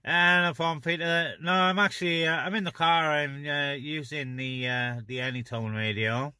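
A man's voice: fairly high pitch, fairly even intonation, moderate pace, pushed and bright, clear, resonant tone, no echo. A man chatting casually over CB radio from inside a car, as one of the operators. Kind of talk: casual two-way radio chat. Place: inside a parked car on open moorland.

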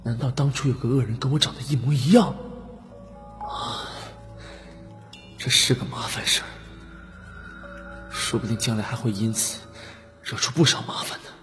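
A man's voice: mid-range voice, moderate pace, pauses often, a bit bright, pitched above the performer's own voice, close to an everyday voice. A man voicing an unstable, haunted young man who frantically denies his guilt in an audiobook, muttering and repeating himself. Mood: reassured, puzzled. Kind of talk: audiobook character acting. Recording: dramatic music behind the voice.

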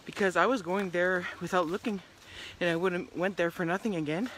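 A middle-aged woman talking casually to her phone while walking a wooded trail, rambling with many restarts and often trailing off into a quiet murmur. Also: fairly low pitch, steady tone, fairly quick, a little hiss or hum. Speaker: hiking vlogger. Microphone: phone held at arm's length.

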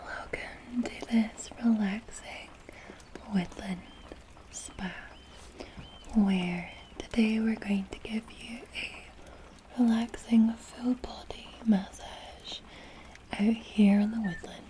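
A young woman from Scotland whispers close to the microphone in an unhurried, soothing hush. Her tone is mellow and soft, and she draws each phrase out slowly as she plays a spa masseuse for an ASMR roleplay. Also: on the high side, steady tone. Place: indoors, in front of a woodland spa backdrop. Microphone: close ASMR mic, leaning toward camera.

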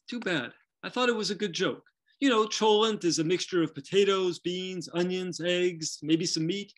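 Middle aged guy, pretty high voice, reading out a light scholarly talk on a video call. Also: fairly bright tone. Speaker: presenter, lecturer. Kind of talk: lighthearted scholarly lecture, scripted narration. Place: home study with bookshelves.

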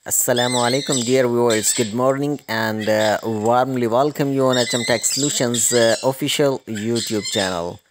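A man hosting a hands-on electronics repair tutorial, explaining step by step in a halting manner. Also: medium pitch. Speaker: host of electronics repair channel. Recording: clearly noisy recording with clicking.

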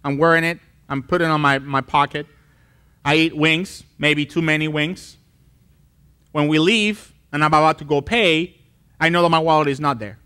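A man's voice: fairly high pitch, steady tone, moderate pace, sharp tone, clear, resonant tone. A man in his thirties from Colombia, a pastor preaching a sermon on a church stage, telling a story animatedly with acted-out voices. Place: church stage with wood wall.

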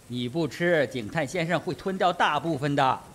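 An actor playing a flippant army captain with sardonic humour in a radio drama. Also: male voice, fairly high pitch, normal speed, a bit bright, slight background noise, lightly acted.

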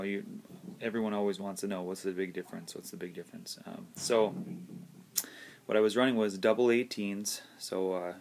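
Low-pitched, creaky-voiced man. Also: a little hiss or hum. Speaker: DJ and entertainer reviewing gear. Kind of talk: casual video review talk. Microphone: phone or camera at arm's length.

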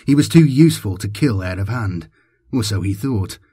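Husky male audiobook narrator. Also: on the low side, expressive, normal speed, warm tone, dry recording, studio quality. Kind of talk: dramatic scripted audiobook narration.

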